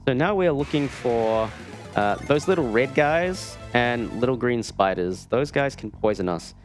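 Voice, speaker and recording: A man talks in a casual, wry way as he plays a video game for an audience of viewers, often cutting his own sentences short. His voice sits at a middle pitch, with faint game music underneath. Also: expressive, moderate pace. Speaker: speedrunner playing and commentating. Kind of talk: live gameplay commentary, casual. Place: gaming marathon event, streamed.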